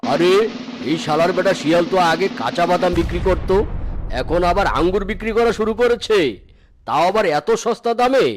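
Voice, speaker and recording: A man's voice: high voice, fairly quick, a little hiss or hum, close to an everyday voice. A man voicing a grumpy, scheming tiger shopkeeper, the villain of a children's cartoon. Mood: resentful. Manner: grumbling, vengeful. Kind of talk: Bengali children's cartoon dub.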